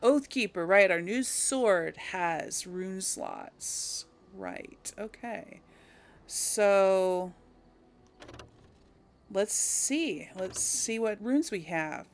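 A woman's voice: medium pitch, big pitch swings, very slow. A woman casually commenting on a game she plays, musing and thinking aloud. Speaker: gaming video host and player. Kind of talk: casual let's play commentary.

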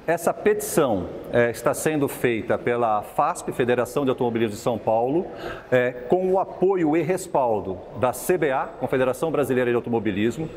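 A man's voice: mid-range voice, a little mellow, slight background noise. A man in his fifties with a Brazilian accent giving a prepared spokesman's statement to camera. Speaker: motorsport movement coordinator.

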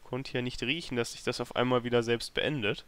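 A man's voice: mid-range voice, fairly even intonation, brisk, a bit bright, clean tone. A man hosting a let's play with casual, self-deprecating and grumbling commentary into a microphone. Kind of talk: casual video game commentary.

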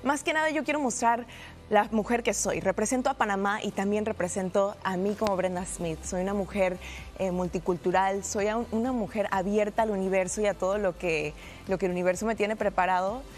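A woman's voice: medium pitch, fairly even intonation, fairly quick, darkish tone, soft background music. Earnest young woman on a TV set. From United States. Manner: earnest, upbeat, some restarts and fillers. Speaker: pageant winner guest. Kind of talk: TV studio interview. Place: TV studio set.

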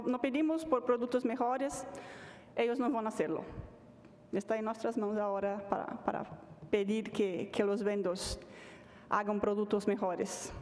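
A woman wrapping up a conference talk at a podium, speaking haltingly and repeating words. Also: a little high, a little mellow. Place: large conference hall stage. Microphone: podium mic. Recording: hall reverb.

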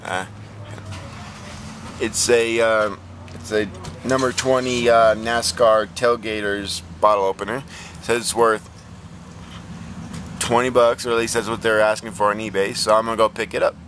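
A man's voice: lowish voice, level tone, moderate pace, pauses often, a bit bright, a little hiss or hum. A man hosting a casual vlog about flea market finds, talking plainly with many ums and restarts, recorded on a handheld phone camera. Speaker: flea market reseller vlogger.

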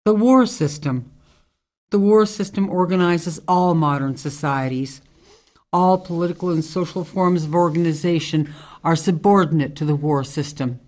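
A woman playing a tough ex-military forest ranger in a radio drama, speaking deliberately and earnestly. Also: on the low side, darkish tone, natural voice. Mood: solemn, earnest. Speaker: actor in an amateur radio drama.

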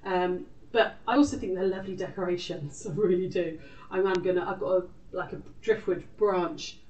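A British woman hosts an informal craft lesson in a chatty, off-the-cuff way. Her voice sits a little low. It is picked up from a distance in an echoey room. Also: moderate pace, darkish tone, highs cut off. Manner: chatty, informal, talks to self while working. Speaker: craft tutor and live show host. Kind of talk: casual live craft demonstration.

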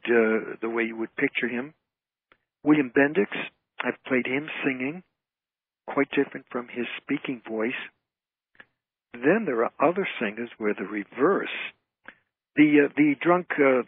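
A man's voice: medium pitch, measured pace, husky, no room sound. A man chatting casually as a guest in an interview, with an emphatic, effusive way of talking. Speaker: guest, entertainer and author. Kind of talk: casual phone-in interview chat. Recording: narrow, tinny phone line.

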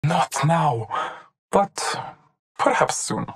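Game casting: solemn masked hunter, formal and devoted, very slow, deliberate delivery. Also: female voice, low voice, animated, heavily acted. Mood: solemn, tender. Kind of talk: video game character voice lines.

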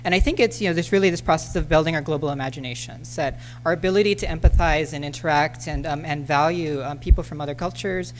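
A woman in her thirties speaking as a panelist at a podium in a lecture hall, halting, with many ums and restarts. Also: sits low, a little hiss or hum. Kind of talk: conference talk with slides. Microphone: podium microphone.

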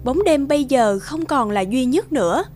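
A woman's voice: sits high, animated, normal speed, music in the background. A woman narrating a scripted fairy tale as its storyteller.

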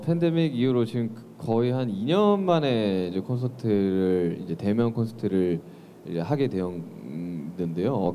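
Young guy at a press conference, really dark mellow voice, slow and hesitant with lots of uhs, faint hiss. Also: on the low side. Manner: hesitant, thoughtful, many fillers. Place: large press room. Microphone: handheld mic.

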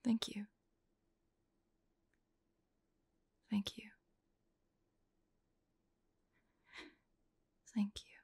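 A woman playing a forlorn, vulnerable young huntress in an intimate audio roleplay, speaking softly and haltingly, often drifting into whispers. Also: mid-range voice, flat delivery, husky, dry recording. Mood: tearful relief. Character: forlorn young woman hunter, tearful, vulnerable, guarded, affectionate.

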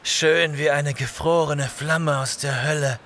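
An actor playing a young barbarian warrior in an audio drama, exhausted and dazed, brooding and halting. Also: male voice, a little high, fairly even intonation, a bit bright, a little hiss or hum. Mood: dazed wonder. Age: young adult.

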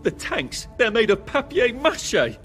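A man's voice: very high voice, huge pitch swings, a little slow, fairly bright tone, full character voice. An anxious British everyman from a video game, sarcastic and wordy. His hesitant, incredulous delivery rises and falls widely over a music bed. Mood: stunned realisation. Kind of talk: video game cutscene acting.

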